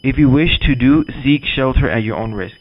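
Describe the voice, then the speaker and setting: A man playing a grave emergency broadcast announcer, reading formally and deliberately from a script, occasionally stumbling over words. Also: medium pitch, close to an everyday voice. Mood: urgent, instructive. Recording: dull lo-fi audio.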